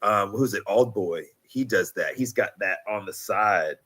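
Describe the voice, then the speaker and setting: A man in his thirties, hosting a casual podcast conversation, chatty and quick to laugh, acting out little quotes as he talks. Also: lowish voice, sharp tone. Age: 30s. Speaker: podcast host.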